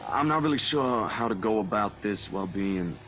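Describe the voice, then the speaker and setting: A man's voice: lowish voice, lively intonation, moderate pace, fairly bright tone, slight background noise. A man voicing a casual young man in a visual novel, a protective, grumbling friend who speaks informally and hesitantly. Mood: hesitant, worried. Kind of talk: visual novel character acting, phone conversation.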